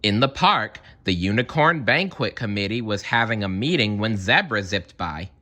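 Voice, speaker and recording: A man reading a children's story aloud in an expressive, theatrical narrating style. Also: on the low side, moderate pace. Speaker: storybook reader.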